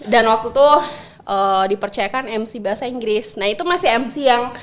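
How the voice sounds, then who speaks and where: A young woman with a high voice talks as a guest on a podcast. She is chatty and expressive, speaks in a casual conversational way, and laughs easily. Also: brisk.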